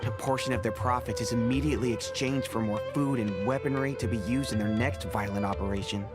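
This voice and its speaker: A man's voice: medium pitch, a little fast, close to an everyday voice. A man playing a friendly, earnest young mercenary in a video game, explaining things in a casual way. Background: game music.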